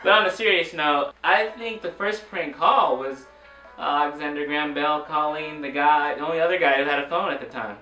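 A man's voice: on the high side, brisk, bright, forceful tone, faint music behind. A man in his twenties co-hosting with playful, mock-serious banter in a small room. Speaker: co-host of a comedy trivia show. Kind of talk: casual joking banter. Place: small furnished room indoors. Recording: roomy echo, slightly distant mic.

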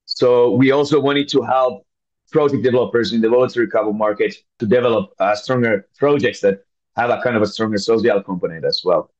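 A man's voice: medium pitch, steady tone, fairly quick, a little mellow, lo-fi sound. A man from Finland with a Finnish accent, answering conversationally as an interview guest. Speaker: podcast guest, scientist.

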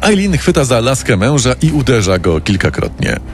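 A man's voice: medium pitch, big pitch swings, normal speed, faint music behind. A man narrating a scripted true-crime radio programme as a dramatic, present-tense storyteller.

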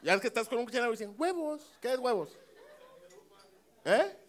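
A middle-aged man with a Mexican Spanish accent works the crowd from a small club stage, speaking into a handheld mic. His voice sits high, and his tone is brash and teasing, full of swearing and sudden loud shouts. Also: sing-song, normal speed. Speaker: stand-up comedian working the crowd. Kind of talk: crowd work stand-up. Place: small comedy club stage with live audience.

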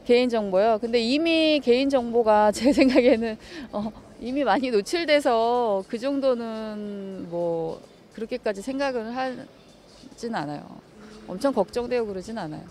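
A woman's voice: fairly high pitch, a little slow, darkish tone. A young woman in a street interview on a city sidewalk, reasoning aloud in a chatty way into a handheld mic. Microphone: handheld mic held by interviewer.

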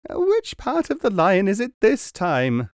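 A man's voice: high voice, wildly swooping pitch, takes it slowly, no room sound, studio quality, pitched above the performer's own voice, full character voice. A man voicing a whining, pain-averse tattoo customer who moans and complains. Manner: moaning, complaining.

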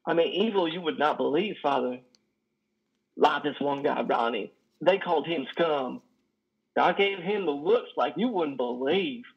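A man acts out a lonely, wounded trucker in a stage drama, higher-voiced and husky. He talks in halting, folksy, simple sentences, weighed down by guilt, over a muffled video call. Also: exaggerated voice. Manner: halting, stammering, folksy ungrammatical speech. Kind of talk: dramatic play reading. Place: indoor room on a video call. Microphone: headset.

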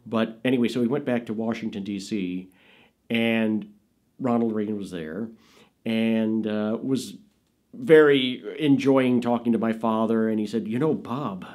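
A middle-aged man telling family anecdotes in a seated studio interview, animated and laughing, often jumping into high quoted voices. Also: unhurried. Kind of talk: anecdotal storytelling. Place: indoors, studio with dark curtain backdrop.

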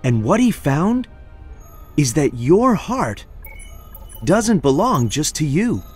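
A man playing a polite, thoughtful character in a video game, husky and explaining things carefully. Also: a little high, sing-song, slow, faint music behind, exaggerated voice.

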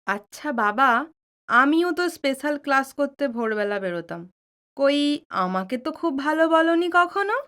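An actress playing a sharp-tongued woman of a family household in an audio drama, sarcastic and bantering. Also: sits high, lively intonation, a little slow, heavily acted. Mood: teasing.

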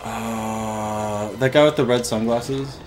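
A man's voice: fairly low pitch, steady tone, takes it slowly, over music. A young man hosting a let's play, chatting casually and swearing with frustrated outbursts. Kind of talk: casual gameplay commentary.

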